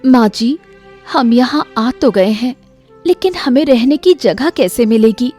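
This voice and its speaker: A woman's voice: on the high side, animated, a little slow, mellow, faint music behind, voice pushed up. A woman voicing a worried young daughter-in-law in a moral story, anxious and respectful.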